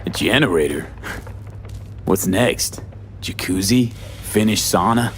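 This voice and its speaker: An actor voicing a calm, curious male mercenary with a dryly teasing manner in a video game. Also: low-pitched, takes it slowly, slight background noise. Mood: dry, weary.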